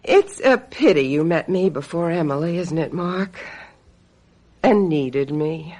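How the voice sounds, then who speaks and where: Female agent role for radio play: bitter, cutting, sardonic, highly varied pitch. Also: animated, unhurried, dark tone. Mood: bitter, biting. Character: sharp-tongued, embittered female talent agent, secretly a witch. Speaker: actor in a radio drama. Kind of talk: radio drama acting.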